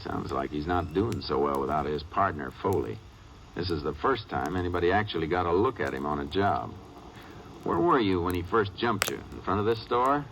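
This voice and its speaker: Texas ranger, real deep voice, matter of fact old radio drama, lots of noise on it. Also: male voice, moderate pace. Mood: calm, businesslike. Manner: terse, calm, matter-of-fact. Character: steady, businesslike Texas Ranger lawman, narrating and investigating. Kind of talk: radio crime drama acting and narration.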